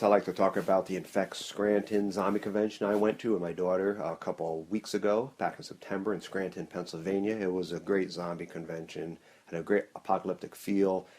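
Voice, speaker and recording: A middle-aged man talks casually as a podcast host. His voice is deep, with a flat, narrow range of intonation. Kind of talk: casual monologue, recounting.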